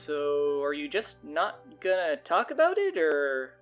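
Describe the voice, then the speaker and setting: A woman's voice: low voice, very animated, slow pace, highs cut off, faint music behind, heavily acted. An actress voicing a teenage boy who gets flustered and defensive and stammers. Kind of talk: audio drama acting.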